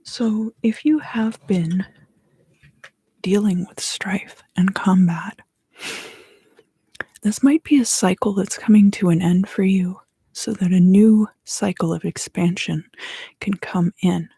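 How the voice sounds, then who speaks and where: A woman giving an ASMR tarot reading in a hushed, breathy whisper, gently and with pauses. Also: medium pitch, no room sound. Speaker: tarot reader and host.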